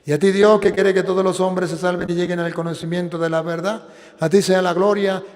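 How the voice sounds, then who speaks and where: Venezuelan priest preaching in a reverberant hall. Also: male voice, high-pitched, little pitch movement, a little mellow. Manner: preaching with slow, emphatic stresses, then plain appeal. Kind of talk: sermon and prayer.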